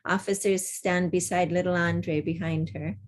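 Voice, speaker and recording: A woman's voice: fairly low pitch, level tone, normal speed. A woman taking part in a virtual table read as narrator, speaking over a video call. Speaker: table-read narrator reading stage directions. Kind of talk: scripted stage-direction narration, then casual discussion.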